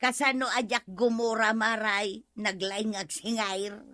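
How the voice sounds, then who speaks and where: A woman's voice: medium pitch, little pitch movement, unhurried, bright, forceful tone, dry recording, studio quality. A woman playing a stubborn, evasive villager who owes money in a comedy audio drama, defensive and whiny with an Ilocano accent.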